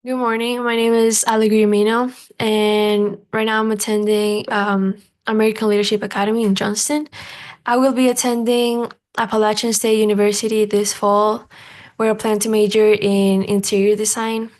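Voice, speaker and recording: A young woman in her late teens from Ecuador gives brief remarks as a scholarship student. Her voice stays flat and level, and she hedges with small fillers. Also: mid-range voice, moderate pace. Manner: hesitant, uses 'like' and 'I guess'. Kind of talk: short prepared remarks.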